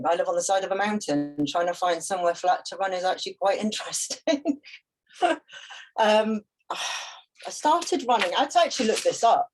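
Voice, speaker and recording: A woman from Britain, a guest ultrarunner, talking about herself in a relaxed, chatty way on a video call. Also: mid-range voice, rough recording. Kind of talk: conversational interview.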